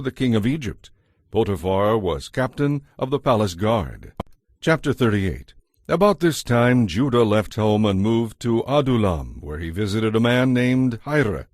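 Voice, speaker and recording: A man's voice: fairly low pitch, darkish tone, no echo, studio quality. A man giving a scripted narration as the narrator of a dramatized audio Bible.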